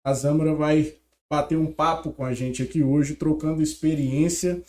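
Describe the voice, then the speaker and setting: A man co-hosting a podcast, introducing a guest in a halting, hesitant way. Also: a little high, fairly even intonation, a little mellow. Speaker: podcast co-host.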